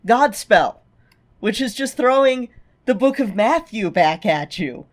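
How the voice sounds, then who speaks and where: A woman's voice: on the high side, expressive, measured pace. A woman co-hosting a podcast, chatting casually with wry, emphatic opinions. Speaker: podcast co-host.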